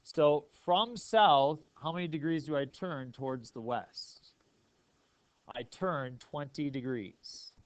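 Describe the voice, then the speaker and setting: A man's voice: a little high, slow pace, no echo. Dry-sounding male math teacher. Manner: checks understanding with frequent questions. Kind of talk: classroom lesson, explaining step by step.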